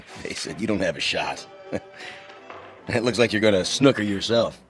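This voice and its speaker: A man's voice: fairly low pitch, expressive, normal speed, soft background music. An actor playing an easygoing but wary traveling man in a radio drama, casual and skeptical in his talk. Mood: amused.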